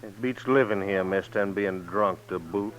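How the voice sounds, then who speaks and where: Cold frontier gunman played by a man, lowish tough drawl, menacing, dull vintage radio sound. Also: moderate pace, lightly acted. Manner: tough, threatening drawl with folksy Western grammar. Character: cold, menacing gunslinger drifting into a frontier town. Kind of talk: old-time radio western acting.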